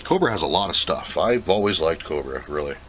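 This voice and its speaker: Low-pitched male, plainspoken, casual product review, off-the-cuff, noticeable background noise. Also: expressive, a little fast, fairly bright tone. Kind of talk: casual unboxing commentary.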